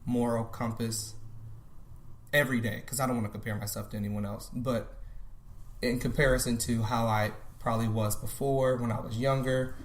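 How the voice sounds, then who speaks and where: Brief: thirty-something man, guest, thoughtful and halting, mid-pitched voice, echoey room. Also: fairly even intonation. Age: 30s. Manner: thoughtful, restarts often. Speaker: podcast guest. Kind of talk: reflective casual conversation. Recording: roomy echo.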